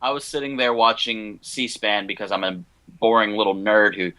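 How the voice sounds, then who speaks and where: A man's voice: lowish voice, fairly even intonation, normal speed, pushed and bright, rough recording. A young American man chatting casually as a podcast co-host, in an opinionated, rambling fan manner. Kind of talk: casual panel chat about films and animation.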